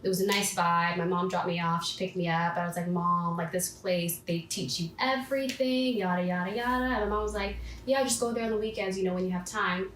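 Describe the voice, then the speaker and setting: Woman, 20s, casual storytelling, acts out her own inner voice, lowish pitch, echoey room. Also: steady tone, brisk, fairly bright tone. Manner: chatty, acts out her own past self-talk. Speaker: podcast guest. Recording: echoey room with faint background hiss.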